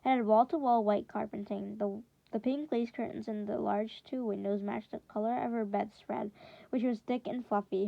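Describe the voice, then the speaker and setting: A woman's voice: on the high side, vocal fry, lo-fi sound. A woman reading a first-person story aloud in the manner of a classroom read-aloud, now and then stumbling and repeating words. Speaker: reader reading a book chapter aloud for students.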